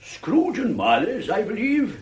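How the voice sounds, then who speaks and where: An actor playing a pleasant, portly, genteel gentleman in a radio drama, with a formal, courteous, rounded manner. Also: high-pitched, wildly swooping pitch, measured pace, exaggerated voice. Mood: courteous, earnest. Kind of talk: 1960s British radio drama acting.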